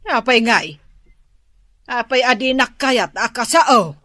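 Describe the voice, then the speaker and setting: An older Ilocano mother in a radio drama, blunt and fretful. Her voice is husky and sharp-edged, and she takes her time over each emphatic line. The sound is close and dry. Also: on the high side, lively intonation, slow, no room sound, exaggerated voice. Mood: indignant. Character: fussy older mother and expectant grandmother, blunt and worrying.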